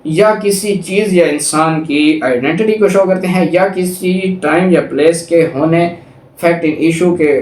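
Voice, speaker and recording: A man's voice: on the high side, a little mellow, slight background noise. A man with a Pakistani accent giving an explanatory law lecture, restating points methodically and mixing in English legal terms. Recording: echoey, reverberant room sound.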